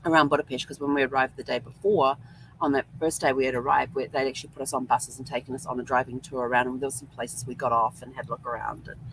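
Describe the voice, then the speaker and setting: A woman's voice: extremely low pitch, a little hiss or hum, poor audio. A woman hosting a vlog, telling a rambling, chatty travel story full of ums. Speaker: host. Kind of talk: casual travel vlog monologue.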